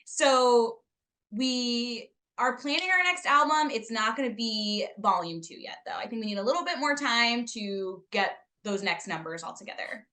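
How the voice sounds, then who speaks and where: A woman from a shanty singing group talking in a casual interview, practical and measured, with some hesitant fillers. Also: a little high, expressive, pushed and bright.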